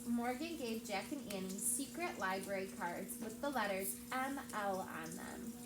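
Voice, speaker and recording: A woman teacher reads a chapter book aloud in an engaging way and keeps turning to her class with questions. Her voice sits a little high. The room echoes and there is steady background noise.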